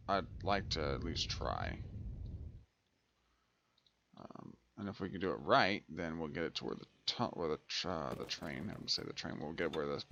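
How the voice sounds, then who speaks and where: A man's voice: deep voice, gravelly, noisy background. A man giving casual let's play commentary as a gaming host, thinking aloud and muttering to himself.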